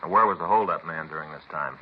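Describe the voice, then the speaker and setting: An actor playing a patient, methodical police detective in a 1950s radio drama, polite, insistent and matter-of-fact. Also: male voice, extremely low pitch, fast. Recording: muffled, hissy 1950s radio recording.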